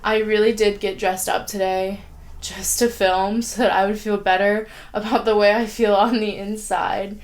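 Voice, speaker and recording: A woman's voice: mid-range voice, little pitch movement, moderate pace. A young woman vlogging casually about her writing and reading, rambling with lots of "like", sniffly with a sore throat. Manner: chatty, rambling, frequent "like" fillers. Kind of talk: casual vlog chat. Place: at home, indoors.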